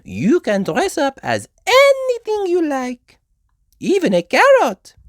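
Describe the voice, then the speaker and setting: A woman's voice: high-pitched, wildly swooping pitch, dry recording, studio quality, pitched above the performer's own voice, full character voice. A woman voicing an encouraging female gazelle teacher in a children's storybook, drawing out her words. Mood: reassuring. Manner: drawn-out, encouraging teacher talk.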